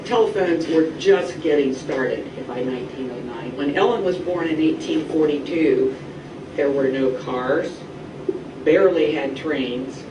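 A woman's voice: low-pitched, measured pace. A woman guest speaker giving an educational talk to students. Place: classroom food lab, replayed on screen. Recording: distant echoey re-recorded playback, noisy room.

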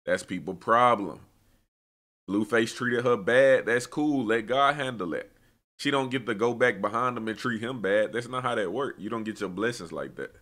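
A man's voice: mid-range voice, moderate pace, a bit bright. A young American man giving casual, slangy reaction commentary with opinionated, conversational delivery. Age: young adult. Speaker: reaction video host.

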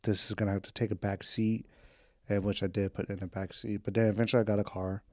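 A man's voice: on the low side, flat delivery, fast talker, darkish tone, no echo, clean studio sound. A man in his twenties casually catching up with his audience, rambling and candid, with slang and the odd swear. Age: 20s. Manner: rambling, candid, drifts between topics. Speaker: vlogger. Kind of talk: casual catch-up podcast talk. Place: home.

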